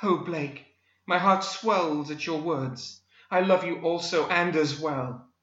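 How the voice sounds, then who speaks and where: Man putting on a high, breathy, swooning heroine. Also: slow, a bit bright. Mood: breathless adoration. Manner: breathy, florid, melodramatic. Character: swooning, gushing young romance heroine, melodramatic woman. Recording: roomy, slightly dull recording.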